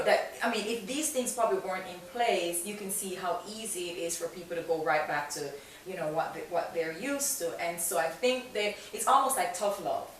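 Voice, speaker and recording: A woman hosting a conversational podcast interview, speaking in an encouraging, affirming way. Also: mid-range voice. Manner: encouraging, affirming, explains with rhetorical questions. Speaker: talk show and podcast host. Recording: echoey, distant mic, faint hiss.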